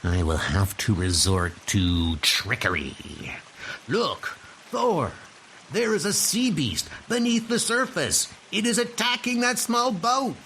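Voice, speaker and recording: A man's voice: high-pitched, big pitch swings, slow pace, sharp tone, a little hiss or hum, pitched above the performer's own voice, full character voice. A man voicing an arrogant, scheming god of mischief with theatrical, pompous delivery in a comic book dub. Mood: gleeful. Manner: theatrical, pompous, archaic commanding speech.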